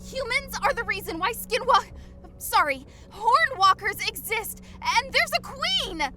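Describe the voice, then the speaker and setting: A squeaky, sky-high giddy young woman, as a male narrator puts her on, her voice bouncing all over as she gushes breathlessly. Music plays loudly behind her. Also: expressive. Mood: breathless gushing. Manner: squealing, breathless, rapid gushing. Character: giddy excitable young woman, bursting with glee.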